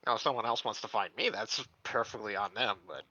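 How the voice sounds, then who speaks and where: A man's voice: on the low side, brisk, sharp tone, no echo, dull audio. A man who is a player in a tabletop roleplaying game, speaking casually about what his character is doing.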